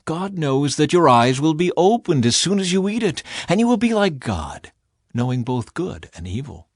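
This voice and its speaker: A man's voice: fairly high pitch, sing-song, normal speed, dry recording, clean studio sound, voice pushed up. A man voicing a shrewd male serpent in a scripture audiobook, sly and persuasive as a tempter. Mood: sly, coaxing.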